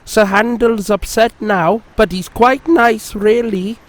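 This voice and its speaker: A timid, kindly little engine who speaks softly and apologizes for others, as a man reads it aloud. The voice is lifted well above the reader's own. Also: high voice, steady tone, slow, slight background noise, pitched above the performer's own voice. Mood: apologetic. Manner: soft and apologetic. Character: small, gentle, polite young male engine.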